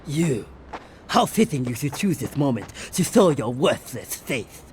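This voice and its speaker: A proud, formal mob boss. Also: male voice, medium pitch, big pitch swings, unhurried, darkish tone, a little hiss or hum. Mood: furious. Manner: formal, grave, commanding. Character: proud, ruthless crime boss who speaks formally.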